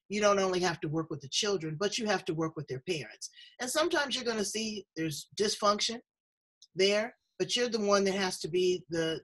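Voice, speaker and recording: A woman hosting a conversational interview, talkative, effusive and playful, with a husky voice. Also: mid-range voice, rough recording. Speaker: show host interviewing a guest.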